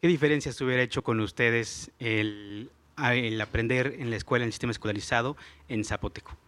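A man's voice: mid-range voice, steady tone, a little fast, a bit bright. Guy hosting a live podcast, introduces guests, asks questions. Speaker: host.

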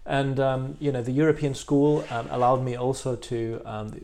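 A man in his forties from Belgium talks about his life as a podcast guest. His voice has a gravelly, creaky edge, and he speaks thoughtfully, often restarting and repeating words as he works through his memories. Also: medium pitch, level tone, moderate pace, mellow. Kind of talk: reflective interview chat.

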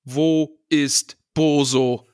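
A man's voice: fairly high pitch, fairly even intonation, very slow, a little mellow, smooth, no room sound, studio quality. A man co-hosting a casual podcast, slightly nasal and stuffed up from a cold, who laughs a lot and reads out listener messages. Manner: laughs often, playful banter. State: has a cold, stuffy nose, sore throat. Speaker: podcast co-host.

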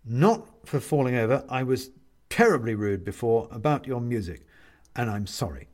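A man voicing a grumpy, solitary man in a storybook, dazed and sheepish, with halting, hesitant politeness. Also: medium pitch, lively intonation, a little mellow, close to an everyday voice. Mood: awkward, apologetic.

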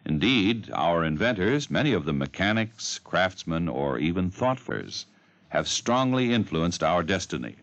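A male radio announcer delivering formal scripted narration in a measured, declamatory manner. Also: low-pitched, sing-song, fairly bright tone. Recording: muffled old radio broadcast.

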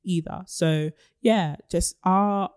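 A woman in her twenties, from Nigeria, co-hosting a podcast in relaxed conversation, animated and quick to laugh, thinking aloud with asides. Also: fairly low pitch, clear, resonant tone. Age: 20s. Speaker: podcast co-host. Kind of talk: casual chat.

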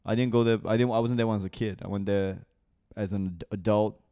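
A man in his thirties with an international school accent talks in a slightly low voice. He is a comedian from Malaysia, raised in Singapore, and chats in an animated way, laughing often and swearing freely. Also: quick pace, smooth. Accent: international school English. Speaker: comedian guest. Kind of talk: casual chat.

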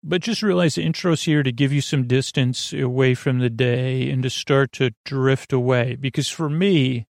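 A man hosting a sleep podcast in a rambling, conversational bedtime monologue, halting and drifting off on tangents in a gravelly voice. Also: mid-range voice, no room sound, clean studio sound.

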